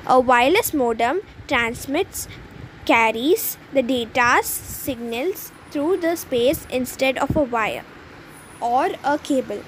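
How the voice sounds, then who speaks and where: A woman's voice: high voice, animated, slow, a little hiss or hum, rough recording. A woman presenting a school textbook lesson by reading it aloud.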